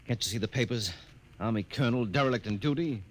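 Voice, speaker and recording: A man's voice: medium pitch, quick pace, close to an everyday voice. An actor with an American accent playing a stern, exasperated army colonel father in a 1950s radio drama. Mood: anxious, accusing. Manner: blustering, exasperated. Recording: noisy 1950s radio recording.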